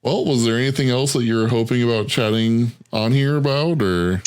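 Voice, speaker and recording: A man's voice: mid-range voice, normal speed, darkish tone, clean tone. A man hosting a podcast in casual conversation, teasing and bantering with his guest. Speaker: podcast host. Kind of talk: casual chat interview.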